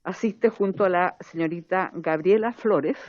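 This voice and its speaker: A woman's voice: fairly low pitch, unhurried, dry recording. Woman chairing a formal committee session. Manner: formal, with brief relaxed asides. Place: parliamentary committee room. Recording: dull, muffled committee audio.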